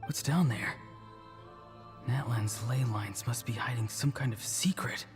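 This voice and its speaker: A man's voice: medium pitch, unhurried, close to an everyday voice. Earnest, pensive young man, voiced by an actor. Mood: pensive, troubled. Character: earnest, troubled young boy doubting his purpose. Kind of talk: scripted video game acting. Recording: long reverb with game music.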